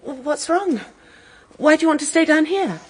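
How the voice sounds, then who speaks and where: An actress playing a nervous, observant wife in a radio mystery, with an anxious, questioning manner. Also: female voice, sits high, animated, normal speed, a little hiss or hum. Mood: uneasy. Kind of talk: radio drama acting.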